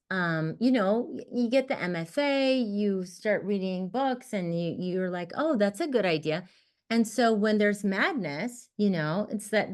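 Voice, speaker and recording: A woman poet whose first language was Armenian, speaking in an expressive, emphatic conversation over a video call. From United States. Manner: expressive, emphatic, rises in pitch when animated. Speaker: poet, guest author. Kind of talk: casual conversation about writing. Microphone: computer mic on a video call.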